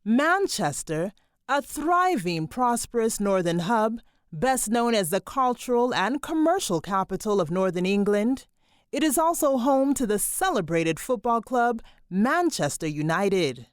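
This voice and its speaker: Lively woman narrator, dry studio sound. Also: on the high side, smooth, dry recording. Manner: measured storytelling delivery. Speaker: documentary narrator. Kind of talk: scripted narration.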